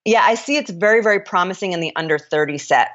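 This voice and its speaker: A woman with a notably deep, low voice talks candidly as a podcast guest. She is frank and opinionated and often stops to restart her sentences. The recording sounds a little dull and lo-fi. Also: fast talker, a bit bright. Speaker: author and startup founder, guest. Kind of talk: candid interview conversation.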